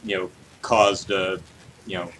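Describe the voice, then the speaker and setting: A man's voice: low voice, level tone, a little slow, a bit bright, rough recording. Casual man over background noise. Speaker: podcast co-host. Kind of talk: casual discussion of video game news.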